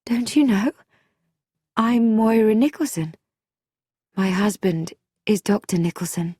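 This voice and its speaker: A woman's voice: mid-range voice, lively intonation, a little slow, dark tone, slightly rough, no echo, clean studio sound, pitched above the performer's own voice. A woman voicing a frightened, desperate young wife in a mystery story, hesitant and pleading.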